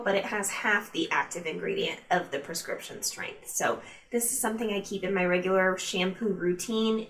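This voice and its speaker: A woman's voice: mid-range voice, fairly bright tone, recorded from a distance. A woman chatting informally to her viewers as a beauty vlogger, reviewing products in a casual, direct way. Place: room at home used for filming.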